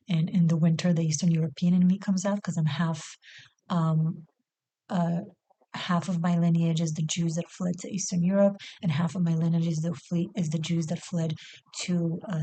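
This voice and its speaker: A woman hosting her own channel, delivering an impassioned opinion monologue with rhetorical questions and wry, dark humor. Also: fairly low pitch. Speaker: channel host.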